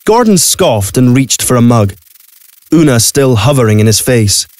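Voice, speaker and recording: A man's voice: mid-range voice, big pitch swings, normal speed, darkish tone, dry recording. A man reading scripted story narration for an audio play. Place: recording studio.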